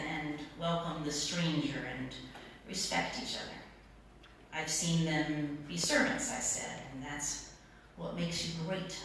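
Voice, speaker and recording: Very echoey church, female pastor, slightly low voice, measured sermon delivery, deliberate repetition. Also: a bit bright. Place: church sanctuary. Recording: echoey church, distant mic, faint noise.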